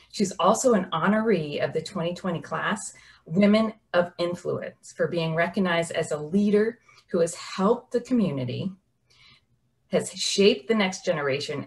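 Polished upbeat woman hosting a video call. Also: fairly low pitch. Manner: polished, upbeat, reads from prepared remarks. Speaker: host. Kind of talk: scripted introduction and interview. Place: home office on a video call.

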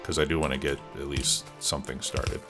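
Congested male gameplay host, bass-low voice, relaxed thinking-aloud delivery, music bed under it. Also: brisk. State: stuffed up with a cold. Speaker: let's play host. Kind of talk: casual gameplay commentary. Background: background music and keyboard typing.

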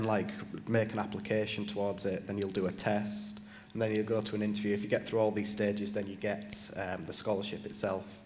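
A man's voice: on the low side, slight background noise. A teenage male student on a conference panel, explaining things casually with many basicallys and likes into a handheld mic. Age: teens. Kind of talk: panel conversation answers. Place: large conference stage. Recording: reverberant conference hall.

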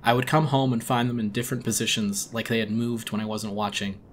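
A man's voice: mid-range voice, level tone, fast, a little hiss or hum. A man giving a dramatic first-person audiobook narration of a horror story in a weary, brooding manner.

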